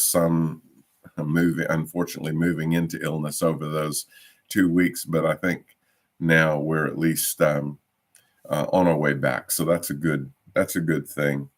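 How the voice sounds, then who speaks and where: A man leading an informal Bible study, a little croaky from a cough, hesitant with many ums and restarts. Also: very deep voice.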